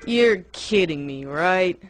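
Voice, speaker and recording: Woman playing a sardonic, animated cartoon woman. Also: on the low side, slow. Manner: sarcastic, dry. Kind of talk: scripted cartoon dialogue. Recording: music behind the voice.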